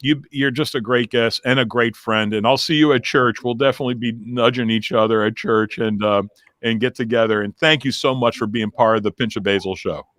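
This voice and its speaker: A man's voice: mid-range voice, steady tone, fairly quick, fairly bright tone, no room sound. A man hosting a radio show and podcast, chatting casually in a jokey, exclamatory, effusive manner. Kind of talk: casual chat, show sign-off. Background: music.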